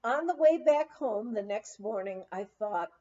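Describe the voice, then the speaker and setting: A woman's voice: medium pitch, unhurried. A woman reading a first-person memoir aloud, telling the story expressively. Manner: expressive, acts out quoted dialogue. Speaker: audiobook reader of a memoir. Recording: slightly dull, lo-fi sound.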